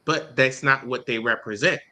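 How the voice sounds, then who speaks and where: Earnest bright-voiced man from Haiti. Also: mid-range voice, moderate pace. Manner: explanatory, earnest. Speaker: guest. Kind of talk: casual group discussion.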